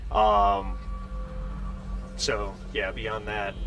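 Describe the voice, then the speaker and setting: A man running a tabletop roleplaying game as game master, halting as he thinks aloud. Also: on the low side, monotone, music in the background.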